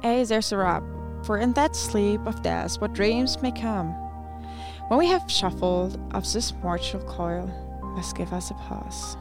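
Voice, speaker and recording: A woman with a German accent, a native German speaker, reading a classic monologue aloud and chatting on a podcast, gently and with frequent laughter. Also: medium pitch, expressive, measured pace, music in the background. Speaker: podcast guest. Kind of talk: casual chat and dramatic reading.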